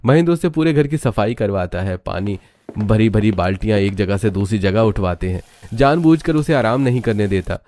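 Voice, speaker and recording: A man's voice: lowish voice, animated, normal speed, mellow, slight background noise. A man giving scripted storybook narration for a family cartoon.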